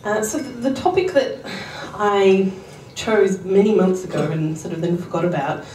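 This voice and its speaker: A woman's voice: medium pitch, normal speed, a little mellow, a little hiss or hum. A young Australian woman in her twenties, a guest speaker with a cold, sounding a little nasal and politely apologetic. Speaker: guest speaker, writer and editor. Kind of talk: public talk. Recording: roomy hall, distant mic, faint dishes.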